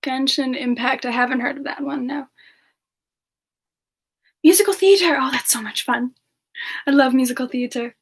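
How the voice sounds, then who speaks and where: A woman voicing a sweet, affectionate demon character in a semi-ASMR livestream chat, with a gently giggly, endearing manner. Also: high voice, normal speed, long pauses, husky. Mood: musing, modest. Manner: gently giggly, affectionate, calls listeners darling. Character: Frostbyte, sweet affectionate demon woman, internet slang novice.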